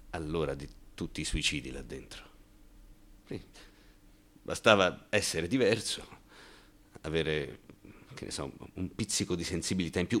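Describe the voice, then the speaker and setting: Deep-voiced anxious, ironic man. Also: a bit bright. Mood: wry, reflective. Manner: halting, rhetorical asides, swings from muttering to outbursts. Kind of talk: theatrical radio monologue.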